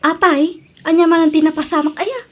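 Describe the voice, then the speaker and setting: Actress as chatty young filipino work friend, high voice, nosy but concerned, radio comedy drama. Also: female voice, fairly even intonation, fairly quick, a bit bright. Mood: surprised, concerned. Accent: Philippine.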